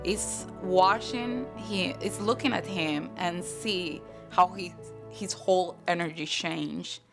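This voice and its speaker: A woman reflecting personally in a documentary interview, halting as she searches for words, with some creak in her voice. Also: lowish voice. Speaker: documentary interviewee, patient's partner. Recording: music behind the voice.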